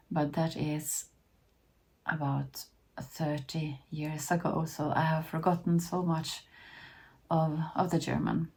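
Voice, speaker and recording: A woman in her fifties from Sweden, Finnish by first language, talks slowly to camera in a low, mellow voice. Her tone is a little husky, and she often pauses and restarts as she goes. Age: 50s. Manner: hesitant, with many restarts and soft ums. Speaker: vlogger. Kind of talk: casual talk to camera.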